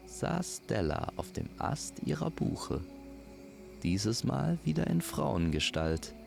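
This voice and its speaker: A man narrating a scripted fairy tale in a measured, poetic manner. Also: fairly low pitch, creaky. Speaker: storyteller.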